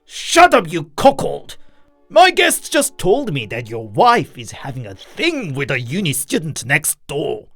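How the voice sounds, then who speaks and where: Man acting a volatile alcoholic father, wildly swinging pitch. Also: wildly swooping pitch, moderate pace, vocal fry, hardly any pauses, fairly bright tone, slightly rough, no echo, soft background music, exaggerated voice. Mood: snarling. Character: volatile alcoholic father, raving, fawning to an invisible guest. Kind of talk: dramatized storytelling.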